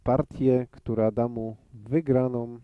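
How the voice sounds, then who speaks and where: A man giving live pool commentary in a measured way, thinking aloud with frequent hesitations. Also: mid-range voice, steady tone, no echo, muffled recording.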